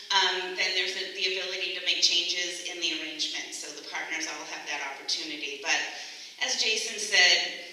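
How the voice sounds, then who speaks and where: A woman's voice: medium pitch, sharp tone. A woman with a Boston accent giving a public speech, hesitant, with many ums and self-corrections. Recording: echoey hall, speaker far from mic.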